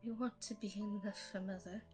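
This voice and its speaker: A woman's voice: mid-range voice, fairly even intonation, fairly quick, a little mellow, soft background music, lightly acted. A woman voicing a timid young boy demigod, the nervous little brother in a fantasy drama, meek, hesitant and stammering. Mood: anxious, unsure. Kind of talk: character acting in fantasy audio drama.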